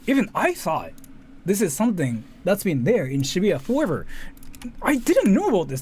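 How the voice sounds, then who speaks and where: A man giving casual, rambling, sweary reaction commentary, often repeating himself. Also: high-pitched. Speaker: commentary streamer. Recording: faint background noise.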